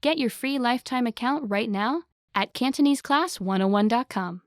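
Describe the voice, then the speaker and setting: Woman promo announcer, upbeat sales read, higher voice, dead-dry studio sound. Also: expressive, normal speed, no room sound. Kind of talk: scripted promotional voice-over.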